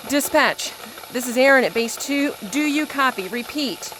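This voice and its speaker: A female night-shift operator at a reactor base in an audio drama. Her voice sits fairly high, anxious and dutiful as she works through radio call-outs. There is noticeable noise behind her. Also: expressive, moderate pace, fairly bright tone, low-quality recording. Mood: puzzled, uneasy. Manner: radio-procedure call-outs, increasingly urgent.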